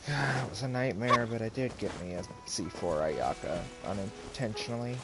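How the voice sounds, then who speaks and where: A man's voice: on the low side, expressive, moderate pace. A man giving casual live commentary while streaming a game. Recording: game music loud behind voice. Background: loud game music and effects.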